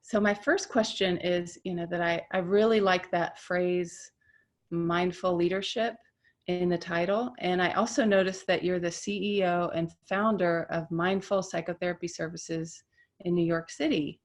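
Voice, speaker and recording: Lower-voiced woman interviewer on a video call. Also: fairly even intonation, normal speed. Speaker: interviewer for a professional society. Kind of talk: friendly interview questions.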